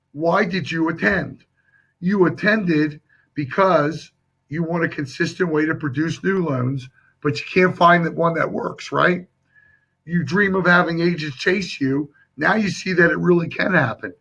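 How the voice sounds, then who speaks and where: A man with a hoarse, husky voice that sits a little high. He coaches viewers through a live sales training with emphatic, direct delivery. The audio is dull and lo-fi. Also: fairly bright tone.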